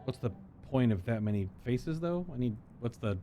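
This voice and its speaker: Animated man reacting to a game. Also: medium pitch, normal speed. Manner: reactive, muttering to himself. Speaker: game streamer. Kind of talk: live playthrough reactions. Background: game music.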